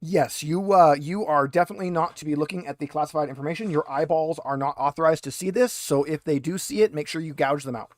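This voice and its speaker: A man's voice: on the high side, fast, few pauses, no room sound, clean studio sound. A man running a tabletop roleplaying game, narrating conversationally with wry asides. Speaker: game master.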